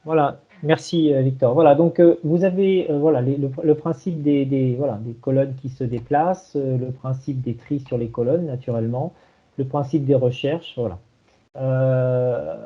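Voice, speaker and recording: A man giving an informal technical demonstration over a video call, in a halting way with repeated words. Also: on the high side. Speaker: presenter of a software tool. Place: remote video conference. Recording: dull, lo-fi online call.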